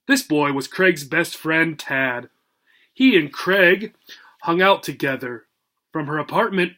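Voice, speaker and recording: A man reading a children's story aloud as a teacher, deliberate and expressive, emphasising words for learners. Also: on the high side.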